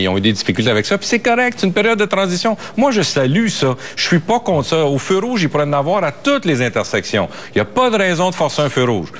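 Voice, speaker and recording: A man's voice: mid-range voice, fairly bright tone. A man with a Quebec French accent giving emphatic, unscripted opinions as a police and legal affairs commentator, often repeating words as his thought builds.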